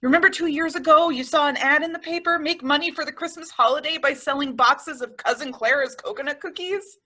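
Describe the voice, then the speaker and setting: A woman's voice: high-pitched, fairly quick, pushed and bright. A woman playing a sensible, exasperated roommate with a dry, scolding manner in an old-time radio comedy.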